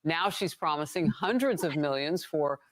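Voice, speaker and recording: A woman news anchor reading scripted news in a crisp, measured way. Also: sits low, animated.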